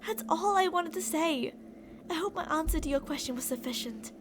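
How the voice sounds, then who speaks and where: A woman's voice: very high-pitched, animated, normal speed, background noise. A woman playing an obsessive, delusional young captor in an intimate, rambling ASMR roleplay monologue addressed to a captive. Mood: sweetly menacing.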